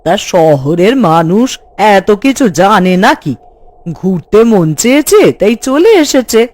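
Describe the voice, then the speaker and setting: A woman's voice: medium pitch, very animated, takes it slowly, a little mellow. Lady as a chatty cartoon village wife, gossipy, music behind. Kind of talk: Bengali cartoon voice acting.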